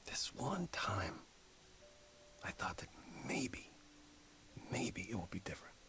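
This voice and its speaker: An actor voicing a despondent, gentle male idol in a video game, speaking in a soft, hushed, resigned way. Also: sing-song, slow pace, whispered, full character voice. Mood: weary, resigned. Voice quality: low, gravelly, hushed.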